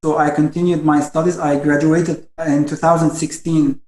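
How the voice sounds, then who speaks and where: High-voiced young man from Lebanon, halting. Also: little pitch movement, echoey room, over a noisy crowd, rough recording. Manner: halting, frequent restarts and stammers. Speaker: guest doctor. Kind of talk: webinar interview answers. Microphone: video call.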